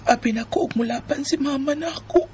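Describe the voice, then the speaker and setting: Young woman voiced by an actress, high-pitched, plaintive, bitter, emotional radio drama, faint music behind. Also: quick pace, darkish tone, close to an everyday voice. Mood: bitter, tearful. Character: wounded, bitter young woman confiding in her family. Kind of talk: melodramatic radio drama acting.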